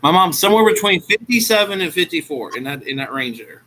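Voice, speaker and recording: A man in his thirties teasing friends in a relaxed, laid-back casual chat. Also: high-pitched, fast talker, low-quality recording. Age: 30s. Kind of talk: casual chat on a group call.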